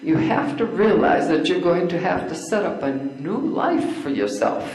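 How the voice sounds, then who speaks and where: Older woman acting a low-voiced, lively opinionated spinster. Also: measured pace. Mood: blunt advising. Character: opinionated spinster schoolteacher, a suffragist, bluntly advising. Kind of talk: amateur readers theater acting. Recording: echoey room.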